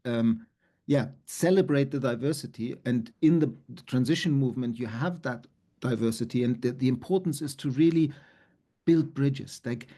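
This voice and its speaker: A man's voice: medium pitch, creaky, dark tone. A middle-aged man speaking earnestly as a panelist over a video call, with frequent fillers. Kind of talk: panel discussion talk. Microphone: laptop webcam on a video call.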